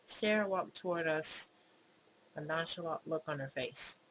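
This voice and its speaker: A let's play host narrates a visual novel in a medium-pitched voice. The delivery is slow, moving between story reading and offhand commentary. The recording has clear background noise. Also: fairly bright tone, rough recording. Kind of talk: let's play, dramatic read-aloud with casual asides.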